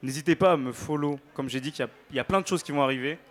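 Fairly high-voiced male singer, playful and informal. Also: racing. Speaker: singer, interview guest. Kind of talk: casual on-stage interview chat.

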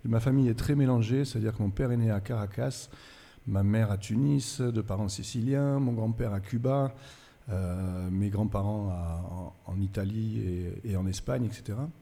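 A reflective, low-voiced male musician. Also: normal speed, creaky, mellow, long reverb tail. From France. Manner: thoughtful, searching, with fillers. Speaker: musician guest. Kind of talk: reflective interview storytelling. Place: cultural centre venue.

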